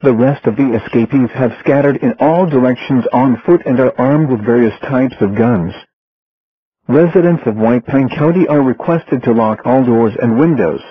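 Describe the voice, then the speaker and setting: Synthetic guy reading an emergency alert, formal and flat, sounds like a bad phone line, bit husky. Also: mid-range voice, normal speed, no echo. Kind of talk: formal emergency broadcast announcement, read from script. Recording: muffled, phone-like emergency radio transmission.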